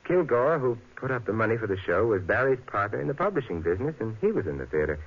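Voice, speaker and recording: An actor playing a suave, unflappable amateur sleuth in a 1950s radio drama, dry and teasing. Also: male voice, fairly low pitch, big pitch swings, fast talker, husky, exaggerated voice. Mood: wry, probing. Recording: muffled vintage radio broadcast recording.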